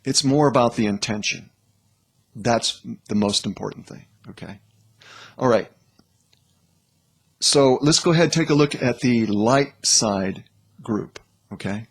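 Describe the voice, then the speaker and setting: Male host, unhurried and husky, explaining informally in halting phrases, checking in often. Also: mid-range voice. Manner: halting, broken phrasing with frequent check-ins.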